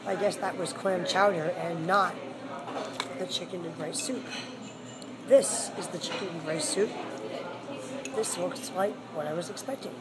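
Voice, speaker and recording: A hoarse woman hosting her own food vlog, chatting frankly about her meal while sitting in a seafood restaurant. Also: lowish voice, unhurried, pauses often, over a noisy crowd. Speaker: food vlogger. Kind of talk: casual restaurant review vlog. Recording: loud background noise.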